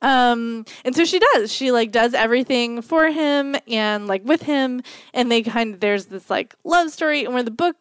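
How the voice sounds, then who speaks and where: Slightly croaky woman podcast host, kinda high voice, rambly book chat, smooth clear tone otherwise. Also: normal speed, dry recording, clean studio sound. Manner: chatty, rambling, many fillers. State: croaky from a cold. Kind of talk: casual book-review chat.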